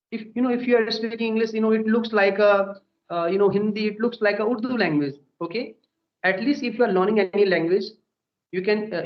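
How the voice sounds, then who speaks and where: A man in his twenties with an Indian accent, an English teacher chatting earnestly and tagging his sentences with "okay" and "you know.". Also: high voice. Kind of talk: casual conversation practice. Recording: dull echoey room recording.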